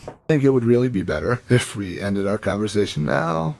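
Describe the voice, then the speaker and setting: An actor playing a shady, evasive dealer in a crime radio drama, with a condescending, menacing manner. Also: male voice, mid-range voice, animated, brisk, gravelly, a little mellow, slight background noise. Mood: urgent, nervous.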